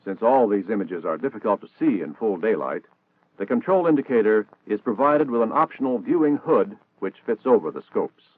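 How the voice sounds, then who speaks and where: A man's voice: lowish voice, very animated, brisk. An American man narrating a scripted 1960s military training film in a formal, precise, instructional manner. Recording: dated narrow-band 1960s film soundtrack.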